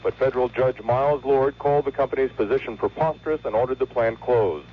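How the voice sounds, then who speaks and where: A man reporting as a news correspondent in a steady reportorial delivery. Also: medium pitch, quick pace, husky. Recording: dull old radio broadcast.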